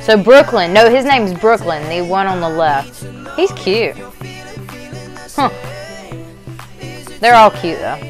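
A young woman making a casual reaction vlog at home, chatty and upbeat, muttering low while she watches, recorded on a lapel mic. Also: lively intonation, takes it slowly, long pauses, faint music behind. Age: young adult. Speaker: YouTube reaction vlogger. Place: bedroom at home, indoors.

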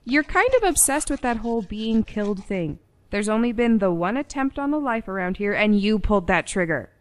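An actress playing a cool, defiant daughter with attitude in radio play dialogue. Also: female voice, mid-range voice, animated, moderate pace, lightly acted.

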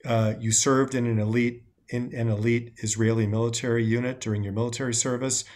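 A man in his sixties hosting an interview over a video call, asking measured questions and thanking his guest in formal terms. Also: mid-range voice, little pitch movement, a little mellow. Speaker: host. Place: home room indoors.

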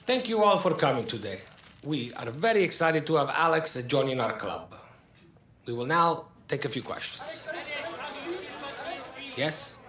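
An actor playing a courteous middle-aged football club official in a game cutscene, formal and careful, with a foreign European accent. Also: male voice, fairly high pitch, sing-song, measured pace, long pauses, bright, forceful tone, some chatter behind, exaggerated voice. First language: not English. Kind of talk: video game cutscene acting.

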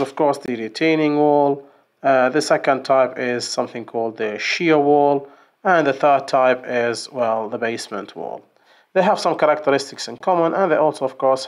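A man teaching an engineering lesson over a screen-share drawing, explaining step by step with fillers and restarts. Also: medium pitch. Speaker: engineering instructor. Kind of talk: whiteboard tutorial. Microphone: screen recording voice-over.

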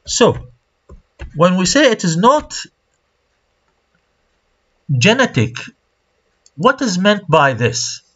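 Slow, lively Iraqi-accented male lecturer. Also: high voice. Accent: Iraqi Arabic. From Iraq. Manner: slow, repeats key phrases and asks the class rhetorical questions. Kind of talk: recorded online lecture. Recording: dull lo-fi screen-recorded lecture audio.

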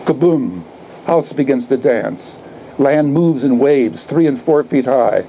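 An elderly man reads his poems aloud to a microphone in a garden. His voice is dark and relaxed, with a middle pitch. He places short phrases deliberately, in a declamatory way, over light ambient noise. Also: poor audio. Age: older, 70s. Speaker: poet reading own work. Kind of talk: live poetry reading with asides. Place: outdoor garden reading. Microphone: microphone on a stand.

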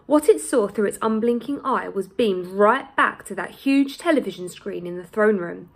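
A woman in her thirties reads a children's storybook aloud like a teacher, deliberate and expressive, with dramatic pauses. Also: mid-range voice, vocal fry, breathy edge. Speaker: primary school teacher reading aloud. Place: small plain room indoors.

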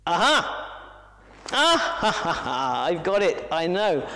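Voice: medium pitch, big pitch swings, slow, voice pushed up. A storyteller voicing an eager, know-it-all male jungle animal giving instructions in a raised voice. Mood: triumphant. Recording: echoey hall reverb.

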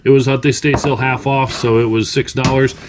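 A man's voice: medium pitch, flat delivery, fast talker. American man chatting over background noise. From United States. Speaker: reseller and vlog host. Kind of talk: casual haul chat. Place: cluttered indoor workroom. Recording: noisy room, clinking items handled.

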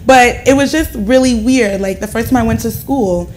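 A woman in her thirties with an American accent, a guest on a talk show who tells lively stories and keeps adding "right?" as she goes. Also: a little high, normal speed, a little hiss or hum, low-quality recording. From Ghana, raised in the United States. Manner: animated storytelling, often adds "right?". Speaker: guest, education reformer. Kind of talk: casual talk-show conversation. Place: living-room studio set, indoors. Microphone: lapel mic.

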